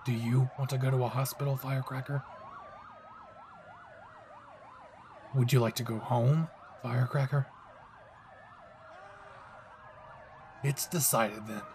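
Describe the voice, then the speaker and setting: A man's voice: medium pitch, steady tone, a little fast, noisy background, lightly acted. A man playing a brash, possessive young hero, cocky, swearing and intense, in a dramatic audio roleplay spoken to the listener. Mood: dismissive, smug. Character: brash, possessive young male hero, gruff and foul-mouthed.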